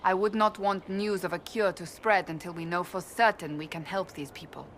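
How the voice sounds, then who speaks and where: An actress playing a stern, devout woman warrior in a video game cutscene, speaking gravely and formally. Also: on the low side, steady tone, close to an everyday voice. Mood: sober, sincere. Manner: grave, formal, deliberate phrasing. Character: stern, devout woman warrior of a holy order, troubled and earnest. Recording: reverberant hall, faint background noise.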